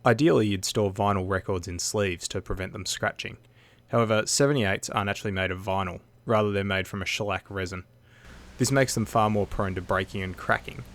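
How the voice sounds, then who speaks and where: A man narrating a scripted how-to video in a measured, matter-of-fact way. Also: on the low side, fairly even intonation.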